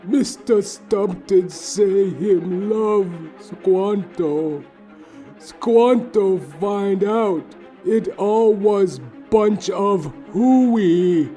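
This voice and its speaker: A man voicing a wounded, pleading character in a comedic audio play, in halting, drawn-out broken English. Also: high voice, dark tone, faint music behind, pitched above the performer's own voice. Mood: aggrieved pleading.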